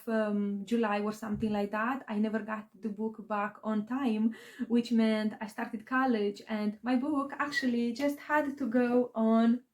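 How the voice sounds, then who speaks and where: A woman with a Romanian accent talks to her viewers in a relaxed, rambling way, full of ums and restarts. Her voice sits a little high and she speaks slowly, taking her time. English is her second language. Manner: rambling, many fillers and restarts. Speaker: aspiring novelist vlogger. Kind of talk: casual personal vlog talk.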